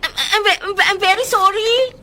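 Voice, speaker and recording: High-pitched, emotional housemaid. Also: female voice, normal speed, bright, forceful tone, slight background noise. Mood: panicked. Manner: exclamatory, emotional. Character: flustered, homesick overseas maid and longing mother. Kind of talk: radio drama acting.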